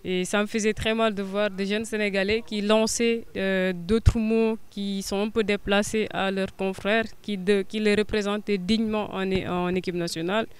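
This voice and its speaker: Young Senegalese woman, flat, smooth. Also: medium pitch, normal speed, few pauses. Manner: hesitant, many filler sounds, earnest. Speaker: guest. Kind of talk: interview answers.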